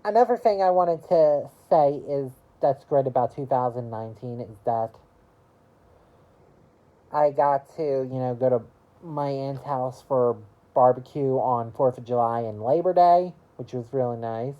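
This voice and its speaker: Slow, low-voiced young woman rambling. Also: dull audio. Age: early 20s. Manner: rambling, halting, leans on "you know" fillers. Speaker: vlogger. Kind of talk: casual year-review monologue to camera.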